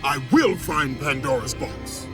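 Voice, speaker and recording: A wrathful, slow-speaking Spartan warrior. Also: male voice, a little high, lively intonation. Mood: defiant, vengeful. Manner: slow, grave, vehement declamation. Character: wrathful, defiant Spartan warrior captain, a grown man. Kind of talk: dramatic video game acting. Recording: loud cinematic music underneath. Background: loud music.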